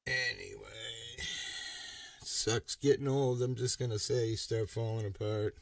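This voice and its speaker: A man's voice: lowish voice, fairly even intonation, gravelly, no room sound, far from the mic. A man who is a guest on a livestream, chatting casually and teasing with profanity. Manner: teasing, profane, slow-spoken. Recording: dry voice, heard from off the mic.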